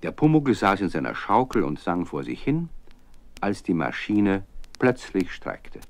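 An actor playing a friendly narrator who tells a scripted story to children. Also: male voice, low-pitched, huge pitch swings, measured pace, vocal fry, a little hiss or hum, heavily acted. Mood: engaging.

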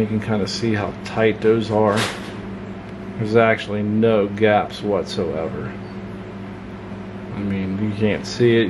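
Low-voiced man rambling through a knife review. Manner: relaxed, rambling, folksy with fillers. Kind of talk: casual hands-on product review. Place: home workshop desk, indoors. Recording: clear hum of room noise.

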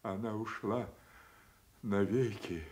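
Slow, grieving old king. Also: lowish voice, darkish tone. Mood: anguished grief. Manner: declamatory, halting, anguished. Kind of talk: classical stage tragedy acting for radio.

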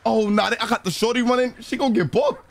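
A man's voice: very high-pitched, fast talker, gravelly, no echo. A man live streaming a roleplay game, talking in profane street slang and repeating his phrases. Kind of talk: excited in-character gaming chatter in street slang.

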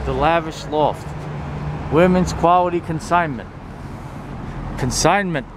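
A man's voice: a little high, slow pace. A man giving a casual, wry walking-tour commentary, reading signs aloud in an offhand way. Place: outdoors on a town shopping street. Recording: noisy outdoor street recording, traffic ambience. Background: loud street and car noise.

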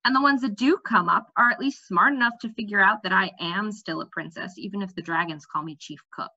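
A woman voicing a brisk, practical, outspoken young princess in a storybook, matter-of-fact and emphatic. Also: medium pitch, bright, forceful tone. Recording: slightly dull webcam audio.

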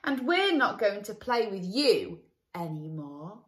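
A woman's voice: mid-range voice, very animated, slow, a bit bright, echoey room. A woman voicing a young dinosaur who is exasperated with his friend.

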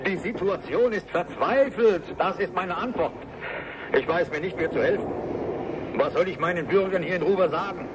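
A man's voice: high voice, normal speed, background noise, lightly acted. A man acting as a despairing town mayor in a radio play, pleading urgently for help. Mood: desperate. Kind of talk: radio play news statement.

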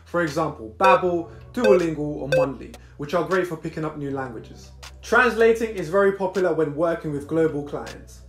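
A man's voice: fairly high pitch, moderate pace. A young man from Brazil hosting a show, presenting a script to camera in an upbeat, punchy way from a small indoor room. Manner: upbeat, punchy list delivery. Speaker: host. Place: small indoor room against plain wall. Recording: echoey room, light music behind.

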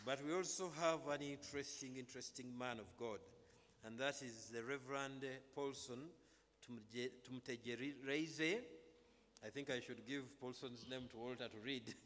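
A middle-aged man with a Ugandan accent welcomes a congregation and gives church announcements in a measured, halting but emphatic way, speaking at a pulpit microphone. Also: mid-range voice, a bit bright. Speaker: clergyman leading a church service. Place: large brick-walled chapel, indoors. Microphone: microphone on stand at pulpit, through face mask. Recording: reverberant hall through PA microphone.

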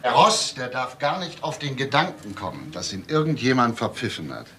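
An actor playing a shrewd, deliberate police detective in a German crime radio play, musing and often tagging questions on. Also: male voice, mid-range voice, big pitch swings, sharp tone. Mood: wry, insistent. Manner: musing, repeats words, questioning tags. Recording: faint noise and clicks, older radio play.

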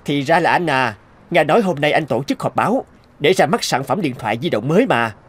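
A man's voice: a little high, animated, fairly quick, slightly rough. A man playing an arrogant rival boss in a cartoon, mocking and condescending. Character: scornful, arrogant male rival research boss. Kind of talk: Vietnamese cartoon dub acting. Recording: music under the dialogue.

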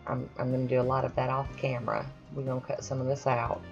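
A woman's voice: very deep voice, little pitch movement, fast talker, gravelly, dull audio, soft background music. A woman chatting casually over her own nail painting, self-deprecating with friendly asides. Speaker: nail art vlogger. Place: home manicure station, voice-over.

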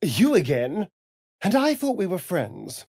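A man's voice: high-pitched, huge pitch swings, slow, a little mellow, heavily acted. An actor playing a vain, sly male vampire rogue in a video game, with a British accent and an arch, melodramatic, catty delivery. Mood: dryly sarcastic. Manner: arch, drawling, melodramatic, dry wit. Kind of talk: dramatic video game acting.

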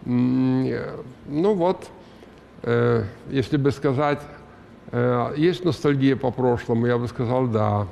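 Older male guest, gravelly voice with fry, hesitant fillers, headset mic, faint studio hiss. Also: mid-range voice, slow pace, dark tone, big-room sound, dull audio. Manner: hesitant, many filler sounds, musing. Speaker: guest actor. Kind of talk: talk show interview. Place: TV studio with audience.

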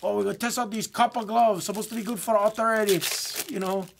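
A man with a Hawaiian Pidgin accent voicing a brash male infomercial host who tests gadgets and gives loud, blunt, joking verdicts. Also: high voice, fairly even intonation, fairly quick, creaky, background noise, voice pushed up. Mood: hyped.

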